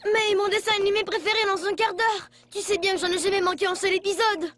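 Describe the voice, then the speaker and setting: A woman voicing a playful, earnest, excitable child character in a French children's cartoon, with childlike expressiveness. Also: extremely high pitch, fast. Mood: pleading.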